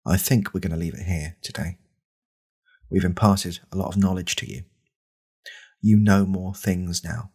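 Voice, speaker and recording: A man playing a creepy, self-serious right-wing podcast host in a comedy parody, deadpan and halting, with a gravelly, husky voice. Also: deep voice. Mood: smug, solemn.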